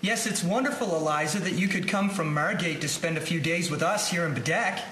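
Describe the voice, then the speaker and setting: A man's voice: a little high, brisk, a bit bright, lightly acted. An actor playing a protective young man, a sleigh-driving brother, in a scripted audio drama. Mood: kindly, calm. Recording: echoey, very noisy ambient recording.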